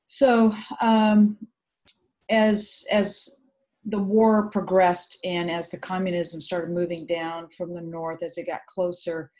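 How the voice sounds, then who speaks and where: A middle-aged woman from Vietnam, Vietnamese her first language, telling her story thoughtfully in a video call interview with many pauses. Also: fairly low pitch, level tone, darkish tone, poor audio. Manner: reflective, hesitant with many fillers. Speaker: guest. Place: home room indoors. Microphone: video call webcam.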